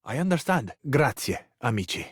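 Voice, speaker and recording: An actor playing a passionate, sensitive living cabinet in a video game, speaking in flowery, formal phrases with an Italian accent and Italian endearments. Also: male voice, medium pitch, very animated, moderate pace, breathy edge, no room sound, studio quality. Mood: warm, grateful. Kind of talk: theatrical romantic video game dialogue.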